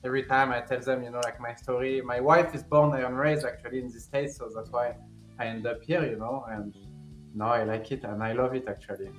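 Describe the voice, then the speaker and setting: A man's voice: mid-range voice, fairly even intonation, fairly quick, reverberant, faint music behind. A young man from France with a strong French accent, a guest on a podcast chatting in a friendly, talkative way, full of "like" and "you know". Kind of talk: casual podcast interview.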